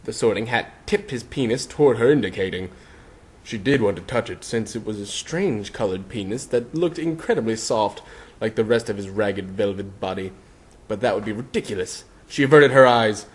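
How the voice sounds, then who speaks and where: A man's voice: lowish voice, moderate pace, husky. A man narrating fan fiction as a mock-dramatic reading. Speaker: narrator, reader.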